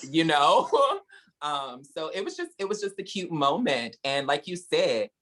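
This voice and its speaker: Animated young man hosting a podcast. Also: on the high side, a little slow. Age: young adult. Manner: animated, laughs often, swears playfully. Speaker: podcast host. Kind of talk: casual chat.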